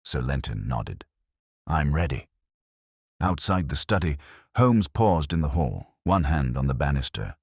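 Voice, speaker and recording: A man's voice: extremely low pitch, very animated, moderate pace, darkish tone, slightly rough, no echo, clean studio sound. A man narrating a scripted detective story in the first person. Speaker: audiobook narrator.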